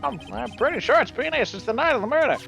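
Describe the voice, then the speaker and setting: A performer voicing a scruffy, eager, bumbling male police detective with a folksy, excitable manner in a live game fan dub. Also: medium pitch, fast, vocal fry. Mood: earnest. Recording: music behind the voice.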